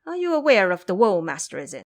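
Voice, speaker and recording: A woman's voice: medium pitch, sing-song, fast talker. A woman voicing an earnest, pleading young male dragon in horse form for an audio drama. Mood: reproachful. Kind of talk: audio drama character acting.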